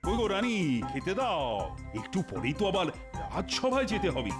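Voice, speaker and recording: High, wildly swooping petulant king. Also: wildly swooping pitch, normal speed. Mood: whiny. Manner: pompous, whining. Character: fussy, petulant king, shrewd but childish. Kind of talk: exaggerated comic cartoon acting. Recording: music behind the voice.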